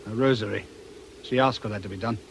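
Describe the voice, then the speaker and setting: A man's voice: fairly low pitch, fast talker, gravelly, a little hiss or hum. A man acting in a radio drama as a plain-spoken, dutiful police inspector with a British accent and a blunt, businesslike manner. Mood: stern. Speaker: radio actor.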